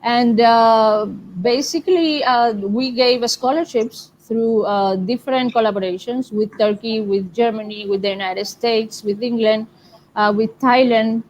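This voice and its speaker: A woman guest answering interview questions in an earnest, measured way, switching between languages at times. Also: fairly high pitch, darkish tone, dull audio, a little hiss or hum. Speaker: guest, linguistics professor.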